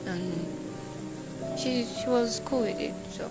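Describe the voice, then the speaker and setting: A young Nigerian woman, a university student, talking casually in an interview and acting out shouted quotes. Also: a little high, slow pace, over loud music. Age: young adult. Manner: animated, acts out shouted quotes.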